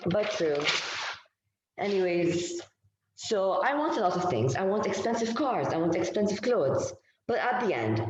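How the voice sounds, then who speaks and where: A man's voice: high-pitched, a little mellow, slight background noise. Teen boy reciting a speech, echoey. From Bahrain. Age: teens. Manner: recites a memorized speech, third-person self-story. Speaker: club president giving a speech. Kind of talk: prepared public speech. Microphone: video call. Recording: dull echoey video-call audio.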